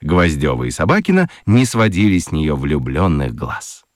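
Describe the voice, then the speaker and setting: Expressive male storyteller, hugely varied pitch. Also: moderate pace, no echo, clean studio sound. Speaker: narrator. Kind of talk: scripted narration for a children's radio play.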